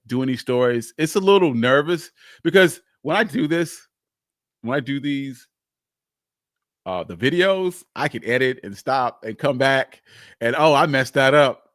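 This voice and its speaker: A man hosting a casual, unscripted live history stream, speaking candidly in a halting, rambling way. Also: mid-range voice, lively intonation, fairly bright tone, dry recording, clean studio sound. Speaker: livestream host, history storyteller.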